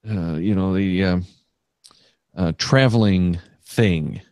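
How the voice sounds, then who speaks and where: Chatty male podcast host, low voice. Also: slow, a little mellow, no echo, clean studio sound. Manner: chatty, many fillers and restarts. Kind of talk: casual podcast chat.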